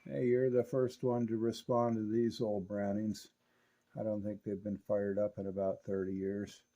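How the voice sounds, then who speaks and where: A man's voice: lowish voice, little pitch movement, moderate pace, dark tone, slight background noise, rough recording. An American man giving an informal, hands-on repair walkthrough as a technician, using technical hobbyist jargon. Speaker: radio technician and collector presenting restoration.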